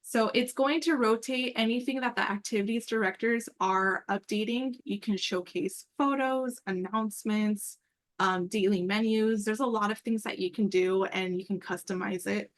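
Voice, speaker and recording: A woman's voice: mid-range voice, normal speed. A woman giving an informal, upbeat, explanatory walkthrough as the presenter on a work call. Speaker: meeting presenter and program coordinator. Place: online group call.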